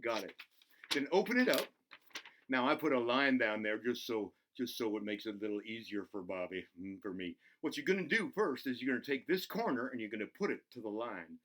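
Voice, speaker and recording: Goofy, excitable cartoonish buzzard played by a man, hosting for kids, giggly, very animated pitch. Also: a little fast. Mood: playful, encouraging. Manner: giggly, self-interrupting, talks to himself in third person. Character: excitable, goofy buzzard host of a children's show. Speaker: children's show host. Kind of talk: playful craft demonstration for children.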